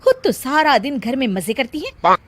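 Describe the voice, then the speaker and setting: A woman's voice: sits high, expressive, moderate pace, heavy background noise, pitched above the performer's own voice. A woman voicing an irritable young working mother who complains about her mother-in-law. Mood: complaining. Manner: complaining, defensive.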